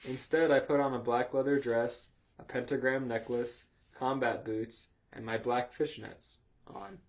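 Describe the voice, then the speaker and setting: A man's voice: medium pitch, a little slow. A man co-hosting a comedy podcast, reading fan fiction aloud with casual, jokey asides and frequent laughter. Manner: laughs often, jokey, foul-mouthed.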